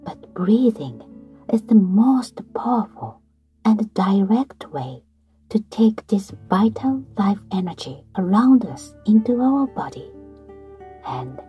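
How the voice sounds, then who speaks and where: A woman guiding a breathing meditation in a slow, soft voice that often drops to a whisper. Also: mid-range voice, sing-song, slightly rough. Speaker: meditation guide. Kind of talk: guided meditation narration. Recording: soft guitar music behind.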